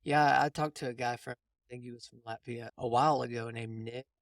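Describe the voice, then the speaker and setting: A man's voice: mid-range voice, steady tone, fairly quick, dry recording, clean studio sound. A man hosting a casual coaching conversation, explaining things informally and often rephrasing as he goes. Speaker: podcast host and mindset coach.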